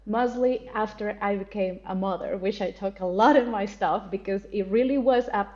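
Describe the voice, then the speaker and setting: A woman's voice: medium pitch, normal speed, dark tone, lo-fi sound. A woman from Colombia, talking candidly and expressively as an interview guest, laughing easily and swearing casually. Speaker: guest, spiritual teacher.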